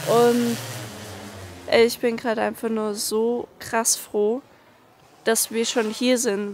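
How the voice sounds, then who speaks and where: A woman in her twenties giving a frank, colloquial vlog update while hiking, talking casually to a handheld camera. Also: a little high. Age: 20s. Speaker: travel vlogger. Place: outdoors on a mountain trail. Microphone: handheld camera at arm's length. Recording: outdoor camera audio with ambient noise.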